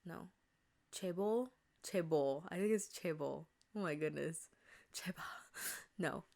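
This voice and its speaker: A woman's voice: low-pitched, takes it slowly, creaky, darkish tone. A young woman hosting a casual podcast, chatty and rambling with lots of fillers and self-corrections, her voice a little congested and tired. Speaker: podcast host. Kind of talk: casual chat.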